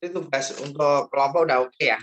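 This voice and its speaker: A man's voice: fairly high pitch, fairly even intonation, quick pace, fairly bright tone. A man lecturing in an informal, chatty way, often checking in with questions during an online class discussion.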